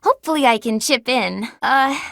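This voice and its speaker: A clumsy, excitable young student in a game, high and bright with a pitch that leaps all over. She gets flustered fast and hums silly songs she makes up. The sound is close and dry. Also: gravelly, dry recording. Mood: earnest, grateful. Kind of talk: video game character dialogue.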